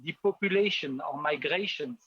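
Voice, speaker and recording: Husky man giving a formal address. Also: fairly high pitch, fairly bright tone, no room sound, dull audio. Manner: formal, measured, hesitant fillers. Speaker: official speaker. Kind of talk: formal prepared speech.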